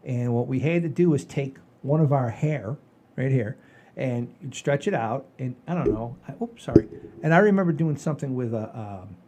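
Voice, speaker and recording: An older man hosting a podcast, telling stories in a folksy, rambling, animated way with a husky voice. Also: medium pitch, moderate pace, slight background noise. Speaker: podcast host. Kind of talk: casual chat and storytelling.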